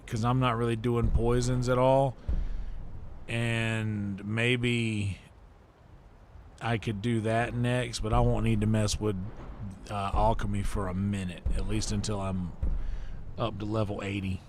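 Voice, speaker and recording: A man's voice: on the low side, little pitch movement, measured pace, a little hiss or hum. A man hosting a game livestream, chatting casually and rambling as he thinks aloud and mutters to himself. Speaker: livestream host and gamer. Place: indoor livestream setup.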